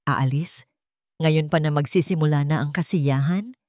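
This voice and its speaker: A woman voicing a sarcastic, taunting wealthy villainess in a deliberate, theatrical way for an audio drama story. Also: deep voice, a little mellow, no echo, studio quality, lightly acted.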